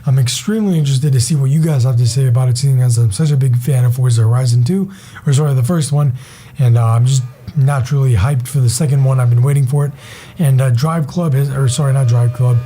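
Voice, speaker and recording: A hoarse young man with a raspy voice, giving casual, rambling gaming commentary as a channel host. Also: mid-range voice, fast talker, dark tone. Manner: rambling, enthusiastic, many fillers.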